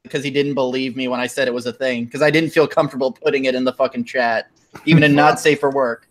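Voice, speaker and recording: A man's voice: medium pitch, steady tone, fast talker. A man in his twenties in a casual group chat, blunt and crude, swearing and cracking wry jokes. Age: 20s.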